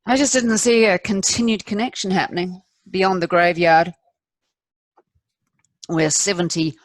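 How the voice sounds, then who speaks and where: A woman performing a confessional stage monologue as a candid, rueful middle-aged wife, wry and self-deprecating, with deliberate emphatic pauses. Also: lowish voice, fairly even intonation, dry recording, natural voice. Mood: confiding, defensive.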